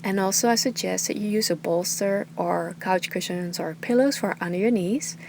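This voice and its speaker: Woman, meditation teacher, mid-pitched voice, calm and soothing, slow soft instruction, trailing breathy endings, faint background noise.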